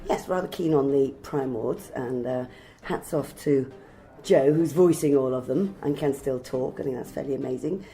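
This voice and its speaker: A woman's voice: extremely low pitch, normal speed, mellow. A woman, an actor, chatting in a breezy, wry way in a casual interview. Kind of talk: casual behind-the-scenes interview.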